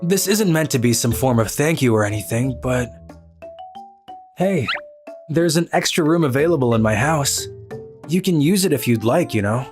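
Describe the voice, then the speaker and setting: Girl doing an exasperated teen otaku boy for a manga vid, low n dry, bit of music behind. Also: lively intonation, normal speed, long pauses, a little mellow. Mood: dry, weary. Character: teenage boy otaku, cool-headed, easily exasperated. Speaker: narrator and lead character of a manga video. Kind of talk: scripted first-person anime narration and dialogue. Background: background music.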